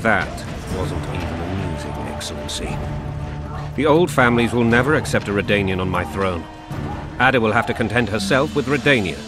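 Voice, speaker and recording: Guy playing a proud grumpy middle-aged king, fantasy game, voice swings a lot, loud music behind. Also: lowish voice, expressive, fairly quick, natural voice. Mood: stern, scornful. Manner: imperious, sardonic. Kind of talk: dramatic fantasy game acting.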